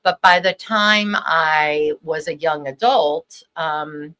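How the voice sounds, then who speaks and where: A middle-aged woman rabbi from Los Angeles, whose first language was Hebrew, tells her story reflectively and pauses often on "um". Her voice is bright and clear and sits somewhat low for a woman. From Los Angeles, USA. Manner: warm, reflective, many ums. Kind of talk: personal storytelling.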